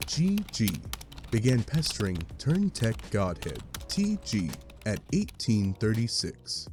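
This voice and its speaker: Mellow, low-voiced male narrator. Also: big pitch swings, slow pace. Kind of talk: scripted second-person story narration. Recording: faint background hiss.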